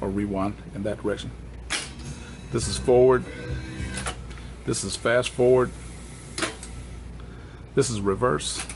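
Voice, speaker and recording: A man presenting an unscripted hands-on equipment walkthrough in a plainspoken, halting way, correcting himself as he goes. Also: lowish voice, a little mellow, slight background noise.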